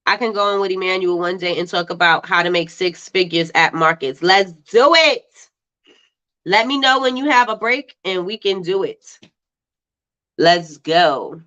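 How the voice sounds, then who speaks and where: A woman's voice: fairly low pitch, a little slow, sharp tone. Congested woman on a livestream, chatty, repeats stuff, kinda dull lo-fi sound. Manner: chatty, laughs often, repeats herself. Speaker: livestream host. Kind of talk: casual live-stream chat reading viewer comments. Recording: slightly dull lo-fi livestream audio.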